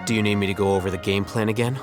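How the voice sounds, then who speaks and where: A man playing a calm, condescending young scholar in a video game, measured and matter-of-fact. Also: fairly low pitch, over loud music, lightly acted. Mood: calm, explanatory.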